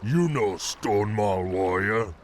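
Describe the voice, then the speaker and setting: A man's voice: on the low side, lively intonation, slow pace, slight background noise. A man voicing a hostile, dim-witted ogre guard in a fantasy game, in blunt broken speech. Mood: hostile, challenging. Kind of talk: fantasy game cinematic acting.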